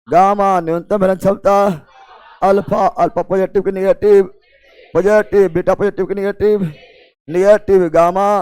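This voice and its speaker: High-pitched Bihari male teacher, slow dictation. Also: a little mellow, smooth. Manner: repeats key phrases as if dictating; informal, teasing address to students. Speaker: chemistry teacher for exam coaching. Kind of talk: classroom lecture with drilled question and answer.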